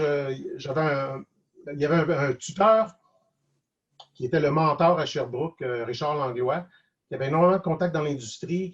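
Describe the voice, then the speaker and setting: Casting: male interview guest, Quebec French accent, slightly high voice, hesitant delivery, dull lo-fi call audio. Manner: hesitant, halting, repeats words. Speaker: guest cartoonist and animator. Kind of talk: casual online interview, reflective advice. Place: video call.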